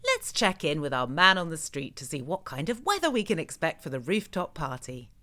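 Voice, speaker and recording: An actor playing a showy radio show host with a menacing edge, presenting theatrically in an audio drama. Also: male voice, on the high side, sing-song, a little fast, sharp tone. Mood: showy, upbeat.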